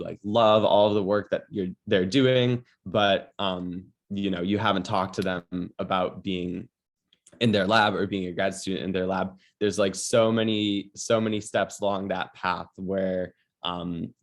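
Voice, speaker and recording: A man with a low voice presents advice over a video call. He talks casually and haltingly, with frequent ums and likes between his points. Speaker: webinar moderator.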